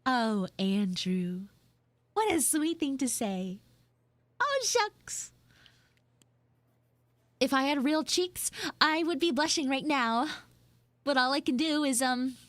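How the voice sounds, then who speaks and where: A high, swooping anime schoolgirl played by a woman, bratty and dramatic in true tsundere fashion. She takes her time, whining and sulking theatrically as she chats to listeners in a live roleplay. The sound is close and dry. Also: fairly bright tone, dry recording, full character voice. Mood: bashful. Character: bratty, dramatic tsundere two-dimensional anime girl, student. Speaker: streamer, voice actor. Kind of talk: live improvised audio roleplay, chatting with listeners.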